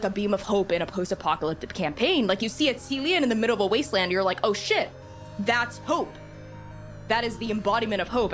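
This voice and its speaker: A woman talking casually and enthusiastically as a podcast guest and game master, informal and animated. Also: mid-range voice.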